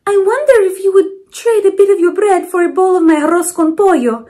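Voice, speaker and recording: A woman's voice: extremely high pitch, brisk, mellow, pitched above the performer's own voice. A woman voicing a kindly, eager grandmother in a children's storybook, asking politely and sweetly.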